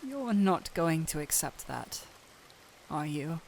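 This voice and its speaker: Slow-spoken gentle, anxious doctor, acted by a woman. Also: low voice, sing-song, creaky, slight background noise, heavily acted, low-quality recording. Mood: gentle coaxing. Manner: earnest, hesitant, pleading with broken phrases. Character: gentle, anxious human doctor pleading with a small cat-thief. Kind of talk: dramatic audio roleplay addressed to the listener. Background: rain ambience.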